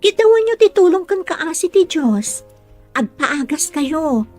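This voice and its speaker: A woman's voice: extremely high pitch, very animated, a little slow, a little mellow, soft background music, full character voice. An actress with a northern Philippine accent playing a cheerful, caring young female caregiver who teases and encourages in a radio drama. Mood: caring, teasing. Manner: teasing and encouraging.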